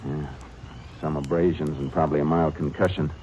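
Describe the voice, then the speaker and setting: An actor playing a steady Texas Ranger, calm and plain-spoken, giving clipped orders and narrating in a 1950s radio drama. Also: male voice, rumbling low, a little fast, a little hiss or hum. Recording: muffled old radio recording with music.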